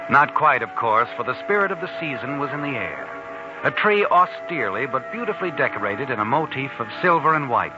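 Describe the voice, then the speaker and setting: A man playing a sinister, all-knowing mystery narrator, theatrical and deliberate, in 1940s radio style. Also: medium pitch, close to an everyday voice. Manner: theatrical, deliberate, addresses the character directly. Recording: old muffled radio recording, music underneath.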